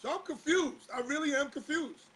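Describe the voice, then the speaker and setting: High-voiced hoarse male show host. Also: normal speed, a bit bright. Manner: fires rhetorical questions, exasperated. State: slightly hoarse. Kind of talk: casual talk-show commentary.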